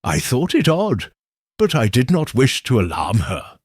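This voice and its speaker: Timid young lady witness played by a male narrator, hesitant and tremulous, slow, animated pitch, audiobook mystery. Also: slightly rough, no echo, studio quality, heavily acted. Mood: tremulous, uneasy. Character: timid, anxious, tearful young gentlewoman witness.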